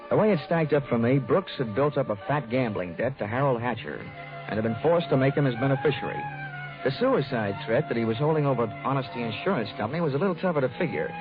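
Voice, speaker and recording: An actor playing a wry, hardboiled, flirtatious insurance investigator in 1940s radio noir style. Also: male voice, medium pitch, close to an everyday voice. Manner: wry, wisecracking. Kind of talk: 1940s radio acting, first-person noir narration. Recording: dull 1940s radio broadcast with music.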